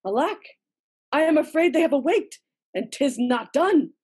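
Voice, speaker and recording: A woman playing a steely, scheming noblewoman in an amateur read-through of a classical verse drama, in a measured, dramatic manner. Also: high-pitched, expressive, a little mellow. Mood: alarmed. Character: scheming, steely wife of a murderous lord. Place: small room at home, indoors. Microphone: computer mic on a video call.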